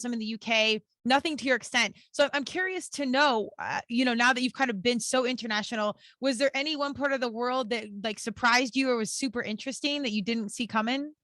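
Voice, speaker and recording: A woman's voice: on the high side, sharp tone, dry recording. A woman hosting a casual podcast interview, speaking in an enthusiastic, informal and affirming way. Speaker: podcast host interviewing a guest.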